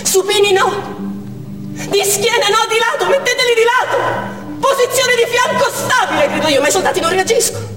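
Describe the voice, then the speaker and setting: An actress playing an anguished, urgent woman doctor in a dramatic stage monologue, speaking intensely and deliberately in short phrases. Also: extremely high pitch, music in the background. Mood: desperate, urgent. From Armenia (the character). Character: Armenian woman doctor recounting a theatre siege, anguished, urgent. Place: indoor theatre stage. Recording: echoey theatre hall, distant mic, faint noise.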